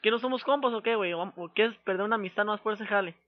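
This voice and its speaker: A man's voice: high-pitched, racing. A man with a northern Mexican accent talking in pushy, threatening street slang on a prank phone call, repeating himself. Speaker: prank caller. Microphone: telephone call. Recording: muffled, very lo-fi phone call audio.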